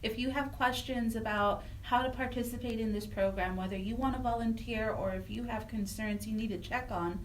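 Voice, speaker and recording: Higher-voiced woman radio co-host. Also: fairly even intonation, brisk, few pauses, distant mic, a little hiss or hum, low-quality recording. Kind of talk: live radio announcing, call-in prompts.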